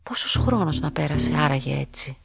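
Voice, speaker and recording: An actress playing a lonely, quietly curious young woman in a radio play, telling her story in a measured, introspective way. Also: low-pitched, animated, gravelly, over music, full character voice. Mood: reflective. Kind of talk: literary radio play narration and dialogue.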